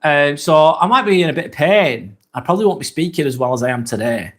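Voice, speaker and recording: A man in his forties with a Lancashire accent, hosting a casual live stream, chatting in a relaxed, rambling way with plenty of ums. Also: fairly high pitch, fast. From northwest England. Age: 40s. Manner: relaxed, rambling, reads chat comments aloud with many fillers. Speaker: livestream host.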